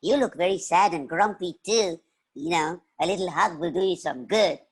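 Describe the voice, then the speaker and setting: Woman putting on a coaxing, husky little girl. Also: lowish voice, normal speed. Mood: soothing. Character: kind, bold, affectionate little girl.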